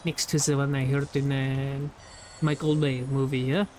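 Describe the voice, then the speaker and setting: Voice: mid-range voice, little pitch movement, moderate pace, a little mellow, slight background noise. A young adult gamer talking loosely over gameplay, rambling, laughing often and swearing casually. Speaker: gameplay video creator.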